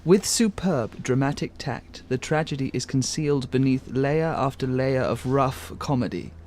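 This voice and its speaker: An actor playing a pompous, arch and courteous theatre critic who also narrates a radio play to the listener. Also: male voice, mid-range voice, lively intonation, normal speed, darkish tone, close to an everyday voice.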